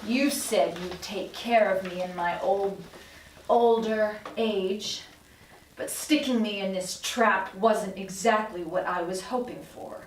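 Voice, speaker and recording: A woman's voice: medium pitch, slow pace, slight background noise, natural voice. An actress playing a bitter, guilt-tripping elderly mother with a sour, complaining delivery, at a TV script table read. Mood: sour, reproachful. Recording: roomy recording, mic at a distance.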